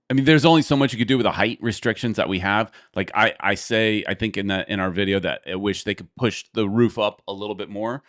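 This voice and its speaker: A man's voice: lowish voice, fairly bright tone, no echo, studio quality. A man hosting a podcast, chatting casually and rambling as he thinks aloud. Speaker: podcast host. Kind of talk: casual chat.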